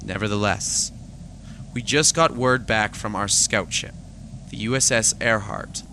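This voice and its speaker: An actor playing a principled, troubled space fleet admiral in a science fiction audio drama, earnest and stern with some weariness. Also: male voice, fairly low pitch, unhurried, bright, forceful tone, slight background noise, rough recording. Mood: anxious, insistent.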